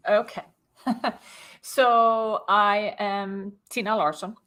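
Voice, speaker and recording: A woman from Sweden, a guest on a video-call podcast, explaining her business story in a clear, methodical way with light laughs. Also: medium pitch, fairly even intonation, extremely slow, a bit bright. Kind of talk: interview storytelling.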